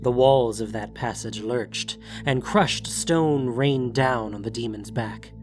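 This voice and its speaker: A man's voice: medium pitch, slow, music in the background. A man reading scripted third-person narration for a fantasy audio drama.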